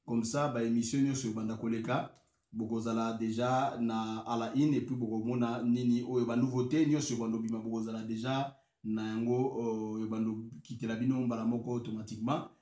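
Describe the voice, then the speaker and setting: A man's voice: mid-range voice, flat delivery, a little fast. Man, Congolese accent, sermon, prophet, mid-range voice, fillers and restarts, roomy echo. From Congo (DRC). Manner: hesitant, many fillers and restarts; grows louder and emphatic when explaining scripture. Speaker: prophet and preacher.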